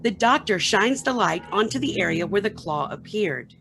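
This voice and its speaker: A woman delivers scripted narration for a radio play reading. Her pitch sits in the middle of the female range, and she reads stage action as a storyteller. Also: lively intonation, moderate pace, gravelly, fairly bright tone, a little hiss or hum.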